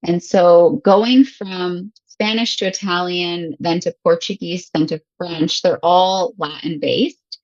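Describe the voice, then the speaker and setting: A woman's voice: fairly low pitch, steady tone, takes it slowly, few pauses, a little mellow, no echo, muffled recording. An American woman from Michigan in her thirties, chatting casually and laughing often as an interview guest. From Michigan, USA. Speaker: guest, English teacher. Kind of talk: casual conversation.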